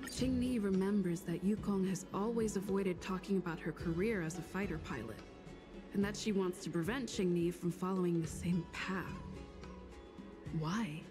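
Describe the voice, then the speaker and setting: A woman's voice: lowish voice, normal speed, over music. A woman doing casual video game playthrough commentary, reading on-screen text and thinking aloud. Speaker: gamer reading and reacting.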